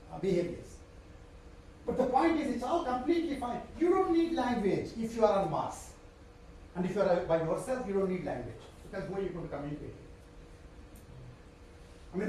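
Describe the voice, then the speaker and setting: A man's voice: high voice, dark tone, a little hiss or hum. A man with an Indian accent, a professor giving a live university lecture in a digressive way, trailing into fillers and short chuckles. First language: Telugu. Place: lecture hall. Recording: echoey lecture hall from far off.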